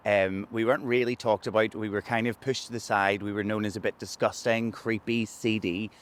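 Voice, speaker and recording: A man's voice: fairly low pitch, fairly even intonation, brisk. A man with a Northern Irish accent, speaking reflectively and candidly in a documentary interview. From Northern Ireland.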